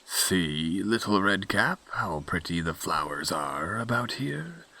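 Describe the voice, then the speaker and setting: A man voicing a sly, hungry wolf in a storybook, speaking in a hushed, crafty, coaxing way. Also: deep voice, animated, takes it slowly, heavily acted, low-quality recording. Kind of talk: storybook character voice.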